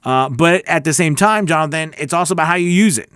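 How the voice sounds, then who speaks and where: A man's voice: a little high, quick pace, a bit bright, smooth, no echo, studio quality. A man hosting a live voice-over class, reading viewer questions aloud and answering them in a chatty, encouraging way with many restarts. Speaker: voice-over coach and livestream host.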